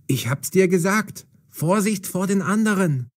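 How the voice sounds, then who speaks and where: An actor playing a wounded, furtive secret agent in an audio drama, with urgent, breathless, halting warnings. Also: male voice, sits high, lively intonation, no echo, studio quality. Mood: pained, warning. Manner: panting, whispered, halting warnings.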